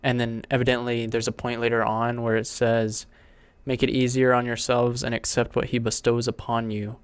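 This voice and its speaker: A man's voice: mid-range voice, completely flat delivery, moderate pace, clear, resonant tone, dry recording. A man giving casual reaction commentary as a video host.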